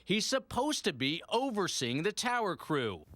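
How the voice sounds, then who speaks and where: High-pitched, animated American newsman. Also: a little slow. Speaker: investigative TV news reporter. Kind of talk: scripted news narration.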